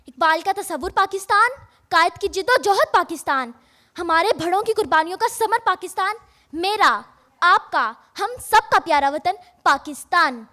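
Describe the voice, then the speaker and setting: A woman's voice: very high-pitched, pushed and bright. A female school contestant with a Pakistani accent, declaiming a formal speech in rhythmic phrases. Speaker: student contestant in speech competition.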